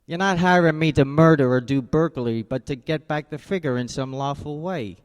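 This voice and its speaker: Casting: an actor as a wry, hard-boiled private eye, voice a bit high, with long reverb and faint music. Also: male voice, a little fast, close to an everyday voice. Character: tough, wisecracking male private eye. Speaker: narrator and lead. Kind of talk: radio drama acting.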